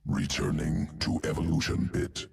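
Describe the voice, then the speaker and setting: Very deep, gravelly alien insectoid. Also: male voice, little pitch movement, distant mic, a little hiss or hum, exaggerated voice. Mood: cold, clinical. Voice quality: guttural, gravelly growl. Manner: clipped telegraphic speech without articles, deliberate. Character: alien insectoid geneticist monster, cold, clinical, detached. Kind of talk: video game character acting.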